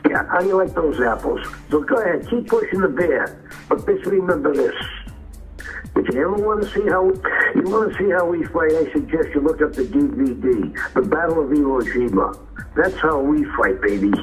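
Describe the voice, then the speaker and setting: A man's voice: a little high, expressive, normal speed, rough recording. A man ranting in a taunting, threatening way, leaving an angry voicemail message. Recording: voicemail message with music underneath.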